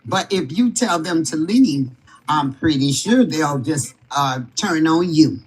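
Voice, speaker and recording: A woman giving impassioned political commentary on a live stream, emphatic and forceful, repeating her warnings. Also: deep voice, expressive, takes it slowly, husky, low-quality recording.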